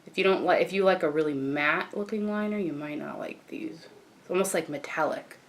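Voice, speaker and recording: A young woman with a croaky morning voice, chatting casually as she walks through a makeup tutorial. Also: fairly low pitch, a little fast, slight background noise. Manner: chatty, relaxed, frequent fillers and restarts. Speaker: beauty vlogger.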